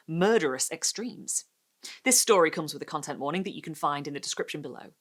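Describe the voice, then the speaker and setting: Theatrical, highly animated woman narrator. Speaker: host and story narrator.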